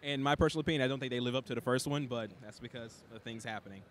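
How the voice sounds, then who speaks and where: A man's voice: medium pitch, racing, fairly bright tone. A man interviewing a guest in a casual, conversational style. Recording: noisy location with ambient background.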